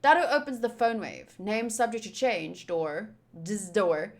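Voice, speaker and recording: A woman's voice: mid-range voice, animated, a little slow. A woman streamer reading visual novel narration aloud and adding casual commentary.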